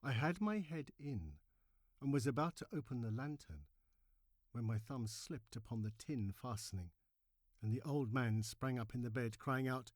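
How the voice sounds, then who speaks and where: An agitated madman , acted out by a male reader, narrates a horror storybook tale. His delivery is theatrical and emphatic, swinging widely in pitch between hushed drops and sudden swells. The sound is dry and close. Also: animated, normal speed, dry recording, natural voice. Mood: furtive, gloating. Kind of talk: dramatic horror story reading.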